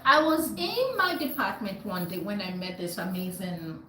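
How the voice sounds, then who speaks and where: A woman's voice: mid-range voice, lively intonation, moderate pace, a bit bright. A woman hosting a community discussion and storytime, speaking emphatically and repeating words as she builds her points. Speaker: host. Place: indoor group gathering. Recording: echoey room with faint noise.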